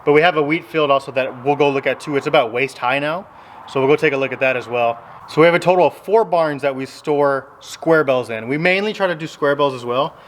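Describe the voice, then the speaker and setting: Easygoing farmer vlogging in a big barn. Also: male voice, on the high side, brisk, fairly bright tone, slight background noise. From Texas. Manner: easygoing, rambling, explains step by step. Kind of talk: casual vlog talk to camera. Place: large open metal barn with tractors. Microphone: handheld phone at arm's length.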